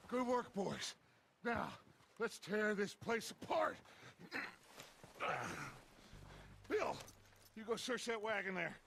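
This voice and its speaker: An actor playing a charismatic, commanding outlaw gang leader in a western game, with an American accent and a grandiose, rallying delivery. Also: male voice, high-pitched, expressive, takes it slowly, vocal fry, long pauses, noisy background, heavily acted. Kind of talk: cinematic western game acting. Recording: music under the voice.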